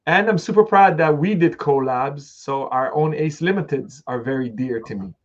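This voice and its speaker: A man's voice: on the high side, normal speed, highs cut off. A man hosting an interview, talking casually with frequent fillers and restarts. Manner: relaxed, rambling with restarts and fillers.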